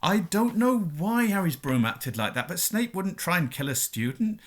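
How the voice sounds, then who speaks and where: A man voicing a gruff, hot-tempered, protective groundskeeper in a storybook, speaking emphatically and colloquially. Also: high voice, big pitch swings, a little fast, fairly bright tone, voice pushed up, exaggerated voice. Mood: heated warning.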